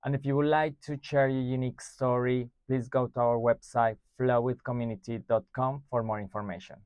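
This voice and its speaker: Smooth-voiced male podcast host. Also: medium pitch, level tone, brisk, darkish tone, dry recording, muffled recording. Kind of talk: podcast interview.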